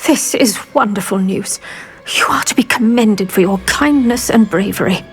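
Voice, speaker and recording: An actress playing an earnest, retired British investigator in a video game, measured and articulate in manner. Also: female voice, medium pitch, faint music behind, close to an everyday voice. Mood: earnest, concerned. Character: earnest, keen retired female investigator, older. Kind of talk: video game character acting.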